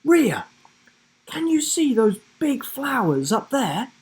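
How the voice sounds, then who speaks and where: A man voicing a young boy hero in a storybook adventure, high-pitched and urgent. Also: very animated, slow pace, breathy edge, exaggerated voice. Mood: eager, urgent.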